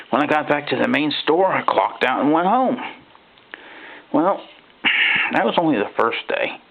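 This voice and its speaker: A man narrating a first-person horror story in a folksy, halting, conversational way, like an ordinary guy confiding. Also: mid-range voice, gravelly, pushed and bright. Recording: thin phone-like band-limited sound.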